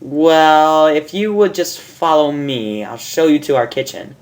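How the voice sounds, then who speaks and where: A woman's voice: sits low, unhurried, darkish tone, pitched above the performer's own voice. A woman voicing a polite, motherly pony shopkeeper from a cartoon, wary but helpful.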